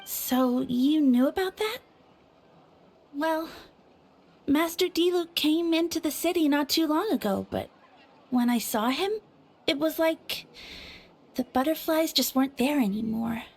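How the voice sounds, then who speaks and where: An actress playing a dreamy, flustered, lovestruck young townswoman in a video game, with a halting, swooning delivery. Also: high voice, vocal fry, a little mellow. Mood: wistful. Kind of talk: video game character acting.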